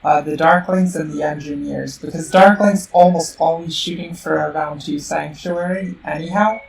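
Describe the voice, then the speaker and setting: A woman streaming a board game, thinking out loud with plenty of fillers and restarts, her voice worn by a sore throat and a little robotic-sounding. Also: low voice, creaky. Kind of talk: casual live-stream game commentary. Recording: roomy, distant mic with faint noise.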